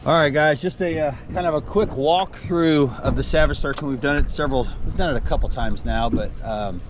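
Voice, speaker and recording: A man's voice: on the high side, brisk, background noise. A middle-aged man chatting casually on a fishing boat out on a lake, explaining his rod and reacting to big catches. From American South. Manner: relaxed, chatty, excited over big fish. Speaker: fishing guide and rod maker. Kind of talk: casual outdoor fishing vlog. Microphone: action camera on the boat.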